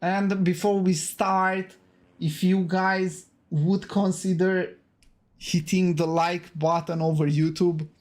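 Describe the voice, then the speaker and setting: A man's voice: high-pitched, level tone, slow pace. A man from Romania with a Romanian accent, hosting and casting a live esports stream. He talks to his viewers in a chatty way, repeats words and keeps adding "okay?" and "guys". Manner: hyping up the viewers. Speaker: esports caster and stream host. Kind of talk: solo live stream commentary.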